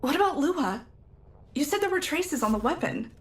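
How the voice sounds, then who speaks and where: Game role: a resolute young fighter, high voice, roomy echo, commanding. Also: female voice, sing-song, moderate pace, a little hiss or hum. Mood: troubled, resolute. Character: determined, commanding young space warrior. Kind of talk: video game character dialogue. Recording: roomy echo, faint music behind.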